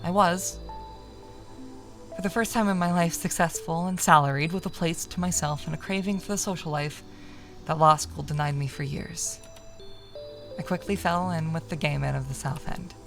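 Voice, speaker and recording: A woman streamer reading a visual novel aloud as narration, expressive, sometimes stumbling and correcting herself. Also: deep voice, normal speed, faint music behind.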